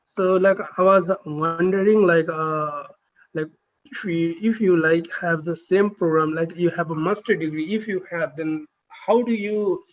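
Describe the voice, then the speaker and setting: A man who is a neurosurgery trainee, chatting on a video call and asking halting, filler-heavy questions. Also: fairly high pitch, steady tone, narrow, tinny audio. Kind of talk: casual video call chat.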